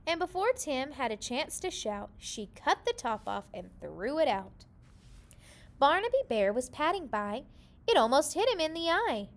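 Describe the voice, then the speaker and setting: A woman reading a children's story aloud in an expressive, animated storytelling manner. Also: sits high, a little slow. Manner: expressive, animated delivery with character voices. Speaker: storybook reader.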